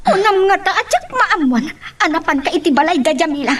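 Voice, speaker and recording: A woman acts out a spoiled, jealous young woman in a radio-style love drama. Her very high voice has an Ilocano accent, and her manner is demanding and hot-tempered. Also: big pitch swings, brisk. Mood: angry, jealous.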